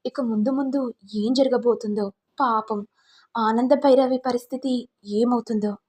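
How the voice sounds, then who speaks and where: A woman's voice: on the high side, steady tone, a little slow, a little mellow, breathy edge. A woman voicing a scheming young daughter-in-law in a family story, gloating and falsely sweet. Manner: gloating, mock-concerned.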